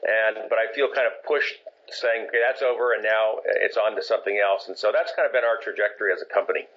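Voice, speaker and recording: Middle-aged guy raised in japan telling career stories, lots of uhs, low voice, lo-fi sound. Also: fairly even intonation, fast, fairly bright tone. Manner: thoughtful, many fillers and self-corrections. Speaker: film producer, guest. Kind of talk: interview answers, storytelling. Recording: dull lo-fi audio.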